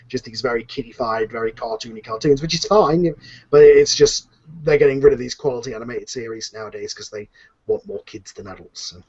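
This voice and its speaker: A man's voice: mid-range voice, darkish tone, slightly rough. Rambling man hosting, muffled lo-fi sound. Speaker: host and audio drama series creator. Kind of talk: casual unscripted panel discussion.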